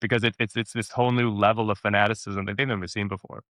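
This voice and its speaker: A man chatting casually as an interview guest, telling stories in an animated way, repeating words for emphasis and acting out quotes. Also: on the low side, quick pace, no room sound, clean studio sound. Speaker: actor being interviewed.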